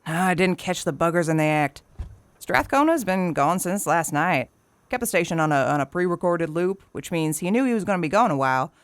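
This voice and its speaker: A woman's voice: fairly low pitch, expressive, fairly quick, no room sound, close to an everyday voice. An actress playing an elderly, folksy small-town woman, teasing and sardonic, in an audio drama. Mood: sly, casual. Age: older. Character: sly, folksy, gun-toting elderly desert townswoman.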